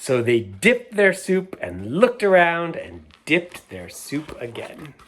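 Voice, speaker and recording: A man reading a picture book aloud to children, stopping to talk about the pictures and ask his young listeners questions in a playful, unhurried way. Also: on the high side, wildly swooping pitch, a bit bright, a little hiss or hum. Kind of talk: read-aloud storytime with asides about the pictures.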